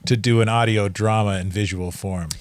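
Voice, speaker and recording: A man's voice: lowish voice, fairly even intonation, normal speed, dry recording. A man co-hosting a podcast, chatting casually and often stammering and repeating words as he goes off on tangents. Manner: stammering repeats, laughs at his own tangents. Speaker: podcast co-host. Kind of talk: casual chat.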